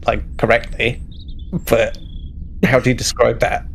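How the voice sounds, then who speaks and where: Creaky-voiced man narrating haltingly. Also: mid-range voice, little pitch movement, a bit bright, a little hiss or hum, rough recording. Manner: halting, searching for words. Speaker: player in a tabletop roleplaying game. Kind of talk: casual improvised narration.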